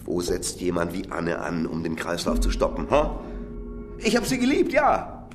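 A man's voice: sits low, huge pitch swings, normal speed, faint music behind, full character voice. An actor playing a cynical, defensive middle-aged businessman under interrogation in a radio crime drama, self-justifying and swinging between muttering and heated outbursts. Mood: wounded, bitter. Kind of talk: naturalistic German radio drama acting.

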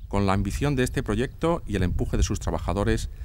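A man's voice: fairly low pitch, expressive, fairly quick, noisy background. A man with a Spanish accent from Spain reading scripted TV magazine narration as a reporter.

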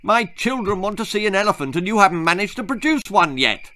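Man playing a rich bullying father, sneering, demanding, raised pitch, storybook. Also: big pitch swings, brisk, pushed and bright, a little hiss or hum, exaggerated voice.

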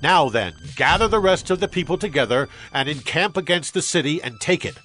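Commanding army officer, slightly high. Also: male voice, lively intonation, brisk, bright, forceful tone, breathy edge, full character voice. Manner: declarative, urging. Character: commanding male army commander reporting to his king. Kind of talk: dramatized audio Bible acting.

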